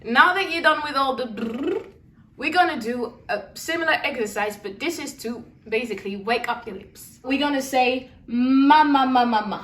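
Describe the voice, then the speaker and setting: High-voiced woman teaching singing. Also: moderate pace. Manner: relaxed, encouraging, often checks in with "yeah?"; demonstrates lip trills and sung syllables. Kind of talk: singing lesson with vocal exercises. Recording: echoey room, voice a little far from the mic.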